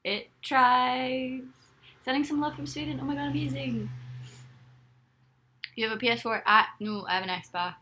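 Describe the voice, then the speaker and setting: A woman's voice: high-pitched, fairly even intonation, normal speed, long pauses, pushed and bright, slight background noise. A young woman live-streaming from bed, chatting casually and rambling as she reads viewers' comments aloud. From Ireland. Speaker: livestreamer. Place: bedroom, in bed.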